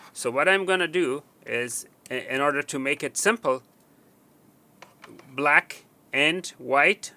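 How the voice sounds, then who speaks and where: A man with a bright, ringing voice walks through a wiring job at an indoor workbench. He speaks haltingly, with fillers and restarts, and counts pins aloud as he points to them. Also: medium pitch. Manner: halting, with frequent fillers and restarts while working. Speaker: technician demonstrating wiring. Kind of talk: hands-on instructional walkthrough.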